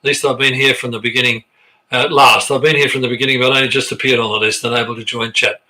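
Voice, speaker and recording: A man's voice: medium pitch, fairly even intonation, fast, pushed and bright, rough recording. An Australian man leading a live online choir rehearsal, chatting on a sensitive computer mic as he reads viewers' comments aloud with lots of restarts. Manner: chatty, rambling, with frequent restarts and fillers. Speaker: choir director. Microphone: sensitive computer microphone.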